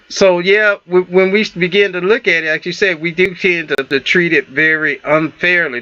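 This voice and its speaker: A man with a Southern US accent hosting a relationship talk show, halting with many fillers and restarts. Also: a little high, pushed and bright, no echo, lo-fi sound. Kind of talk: casual radio talk show chat.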